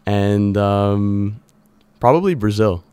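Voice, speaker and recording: A man's voice: on the low side, slow, warm tone, clear, resonant tone, dry recording. A man speaking conversationally as an entrepreneur guest in a radio interview. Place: radio studio.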